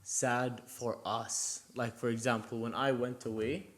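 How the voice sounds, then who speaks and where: A young man co-hosting a podcast, telling casual anecdotes in an animated, joking, slangy way. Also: medium pitch, a little slow. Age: young adult. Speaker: podcast co-host.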